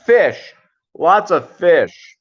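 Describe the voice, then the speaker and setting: A middle-aged man chatting casually as a livestream host, in a rambling, halting way that often repeats phrases. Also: sits high, very animated, husky. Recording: dull lo-fi livestream audio.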